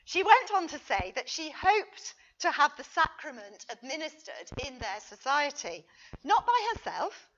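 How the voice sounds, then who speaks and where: A woman reading a history paper aloud as a conference speaker, expressive and emphatic, with a wry touch. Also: sits high, measured pace, muffled recording. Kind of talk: prepared lecture read aloud to an audience.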